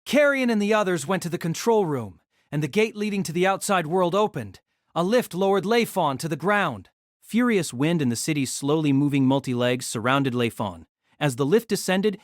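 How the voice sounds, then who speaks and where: A man narrating an audio drama in scripted storytelling style, expressive and engaged. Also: moderate pace, no echo, studio quality. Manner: expressive storytelling with lively pitch.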